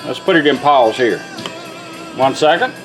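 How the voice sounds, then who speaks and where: A man's voice: medium pitch, lively intonation, unhurried. A man giving a casual, hands-on product demonstration in a plainspoken, folksy way. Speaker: car radio restorer demonstrating a product. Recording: music playing behind the voice.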